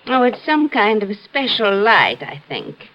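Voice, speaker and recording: An actress playing a hesitant, nervous widow of a prospector in a 1950s radio drama. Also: female voice, mid-range voice, very animated, unhurried, a little hiss or hum. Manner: hesitant, gentle, faltering. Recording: old muffled radio broadcast.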